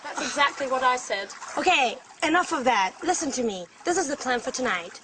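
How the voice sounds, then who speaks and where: A woman's voice: sits high, lively intonation, brisk, background noise. An actress playing an eager, earnest young woman in a scripted language-learning drama. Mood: excited, earnest. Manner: earnest, insistent. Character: eager young woman keen on ghost hunting.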